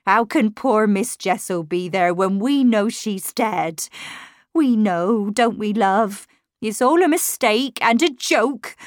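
A woman's voice: medium pitch, no room sound, clean studio sound, lightly acted. A woman voicing a kindly, flustered motherly servant in a storybook, coaxing and protective as she speaks.

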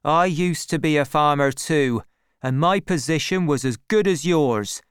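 A reader voicing an earnest, worried farmer, a lovesick man who speaks in a measured, pleading way. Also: mid-range voice, clear, resonant tone, no room sound, clean studio sound, voice pushed up, close to an everyday voice. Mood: indignant.

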